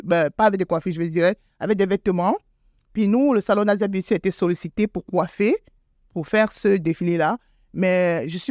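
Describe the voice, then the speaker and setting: A woman's voice: medium pitch, a little mellow, dry recording, studio quality. A woman who owns a beauty salon, answering interview questions in a hesitant way, with many fillers and repeated words, and rising sharply when she stresses a point. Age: adult with school-age children. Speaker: beauty salon owner, guest.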